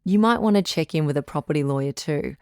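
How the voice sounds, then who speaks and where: Phone-in advice host: patient, reassuring woman doctor, low-pitched, dry studio sound. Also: lively intonation, darkish tone, clear, resonant tone, no room sound. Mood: reassuring, practical. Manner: measured, tactful, reassuring. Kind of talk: audio drama phone-in advice.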